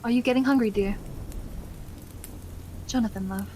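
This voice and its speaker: A woman playing a loving, caring, genteel wife in an ASMR roleplay, gentle and affectionate with old-fashioned politeness. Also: on the high side, moderate pace, background noise, lightly acted. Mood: caring, attentive.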